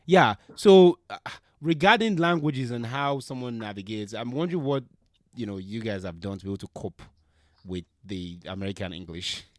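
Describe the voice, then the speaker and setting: A man's voice: mid-range voice, lively intonation, normal speed, no room sound, studio quality. A man from Nigeria hosting a casual podcast chat, hedging and correcting himself as he goes. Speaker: podcast host.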